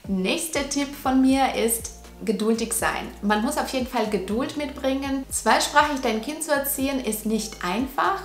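A woman's voice: high voice, unhurried, soft background music. A woman, talking to camera at home and giving earnest parenting advice. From Russia. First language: Russian. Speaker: parenting vlogger. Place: living room at home. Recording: roomy home echo.

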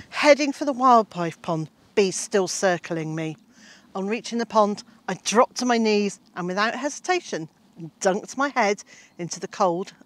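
A woman in her sixties, a gardening author and homestead host, reading a story from her own book aloud outdoors in a shady garden, with lively, animated emphasis. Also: mid-range voice. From Britain. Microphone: camera-facing, mid-shot.

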